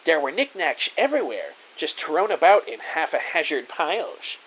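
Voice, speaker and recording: A man's voice: a little high, sing-song, moderate pace, fairly bright tone, muffled recording, slight background noise. A man playing an earnest, naive detective who narrates his own story in a scripted audio drama, with a theatrical delivery. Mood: earnest narrating.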